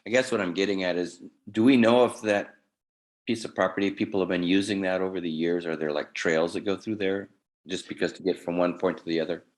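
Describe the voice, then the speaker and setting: Deep hoarse councilman asking questions. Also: steady tone, fairly quick. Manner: probing, with restarts. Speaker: city councilmember.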